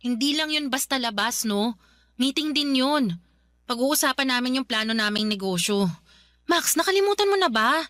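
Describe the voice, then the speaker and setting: Exasperated Filipino girlfriend for radio drama: high, bright voice, emphatic and animated. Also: moderate pace, no echo, clean studio sound. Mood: indignant. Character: indignant young live-in girlfriend arguing with her partner. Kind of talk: Tagalog radio drama acting.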